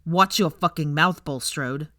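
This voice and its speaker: A woman's voice: deep voice, unhurried, sharp tone, no echo, studio quality. A woman voicing a sardonic, protective young wizard man in a storybook reading. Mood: furious. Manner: cool, feigned bewilderment or blunt anger.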